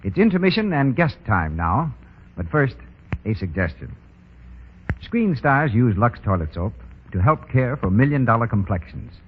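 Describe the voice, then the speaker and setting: A man's voice: mid-range voice, very animated, normal speed. A man announcing and reading scripted advertising in 1930s radio style. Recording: muffled, noisy 1930s radio recording.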